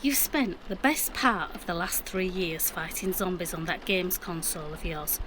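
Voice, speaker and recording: A woman's voice: on the low side, moderate pace, background noise, natural voice. A middle-aged woman playing a defensive, practical mum in an audio drama, with a Yorkshire accent and matter-of-fact delivery. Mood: exasperated. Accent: Yorkshire English. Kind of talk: naturalistic audio drama acting.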